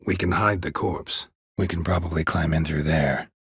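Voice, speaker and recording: A male actor playing a stoic, world-weary monster hunter in a video game, terse and deadpan, with a low gravelly rasp. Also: normal speed, no echo, clean studio sound, heavily acted. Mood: deadpan, businesslike. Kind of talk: video game character dialogue.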